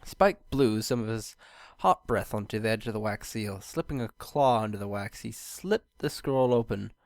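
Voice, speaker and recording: A storyteller reads scripted fiction aloud with very animated intonation. The voice sits at a middling pitch and is recorded dry and close, with no room sound. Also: normal speed, dry recording. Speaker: fan fiction reader, narrator.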